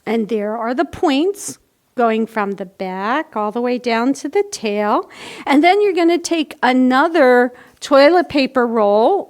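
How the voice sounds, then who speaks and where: High-voiced, slow-talking woman instructing children. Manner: simple step-by-step explanations addressed to children. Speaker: library storytime presenter. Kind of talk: children's craft demonstration, casual instruction.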